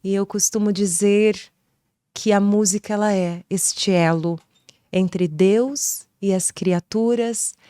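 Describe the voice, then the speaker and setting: Singer guest, female, carioca accent, slow reflective delivery, dry close mic. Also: medium pitch, fairly even intonation, no echo. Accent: Rio de Janeiro Brazilian Portuguese. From Rio de Janeiro, Brazil. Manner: slow, soft, reflective, poetic phrasing. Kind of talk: leading a prayer, then interview answers.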